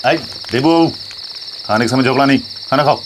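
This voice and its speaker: A man's voice: medium pitch, steady tone, fairly quick, background noise, close to an everyday voice. A man acting as a plain, stern working-class village father in a TV crime drama.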